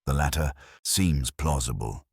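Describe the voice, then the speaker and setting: A man voicing a courteous, observant police inspector in a mystery story, polite, deliberate and drily ironic. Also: extremely low pitch, gravelly, a little mellow, slightly rough, no room sound, studio quality, heavily acted. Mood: drily reflective.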